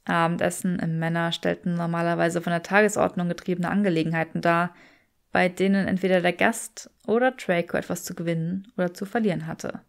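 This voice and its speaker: Woman reading an audiobook, bit low, lots of vocal fry. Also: fairly even intonation, fairly quick. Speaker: audiobook narrator. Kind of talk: scripted fiction narration.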